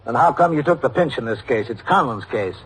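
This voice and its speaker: An actor playing a brisk, probing police captain in an old-time radio detective drama, questioning in an official manner. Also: male voice, medium pitch, animated, fairly bright tone, breathy edge. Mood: stern, pressing. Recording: noisy vintage radio drama recording.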